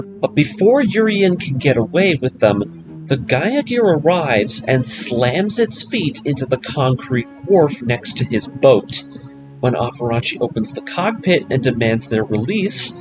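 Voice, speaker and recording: Male narrator for scripted story recaps, slightly high-pitched, very expressive intonation, music bed underneath. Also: poor audio. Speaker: narrator of anime story breakdown series.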